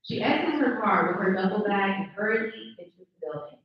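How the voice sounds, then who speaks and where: Female script narrator, medium pitch, distant and dull sound, live read. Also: expressive, a little fast. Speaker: stage-direction reader. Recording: very echoey room, distant dull mic.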